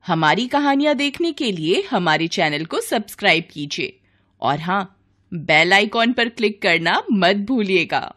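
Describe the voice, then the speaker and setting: A woman's voice: medium pitch, expressive, a little slow, fairly bright tone. A woman giving a scripted greeting to viewers as a channel announcer.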